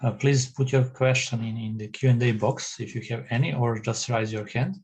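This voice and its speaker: A man from Serbia with a Serbian accent moderating an online academic session, speaking haltingly and repeating words as he goes. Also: medium pitch, a little mellow, breathy edge. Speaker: session chair.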